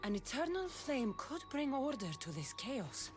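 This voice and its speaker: A woman's voice: sits high, very animated, unhurried. An actress playing a tough, skeptical female mercenary in a video game cutscene, speaking in a blunt, dry, self-assured way. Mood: determined. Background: music.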